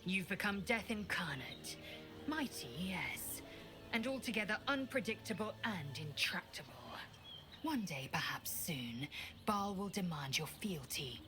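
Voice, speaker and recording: A woman playing a grave, stern female warrior in a video game, clipped and breathy at times. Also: fairly low pitch, expressive, a little slow, music in the background. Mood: grave, warning.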